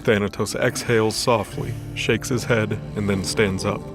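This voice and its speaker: Male narrator, lowish pitch, scripted audio-drama storytelling over a music bed. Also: fairly even intonation, moderate pace. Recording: soft background music bed.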